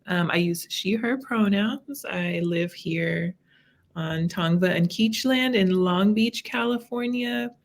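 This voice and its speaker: A woman's voice: mid-range voice, slow pace. A woman from Los Angeles, a therapist guesting on a podcast, chatting casually in a bubbly, self-mocking way. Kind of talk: casual chat.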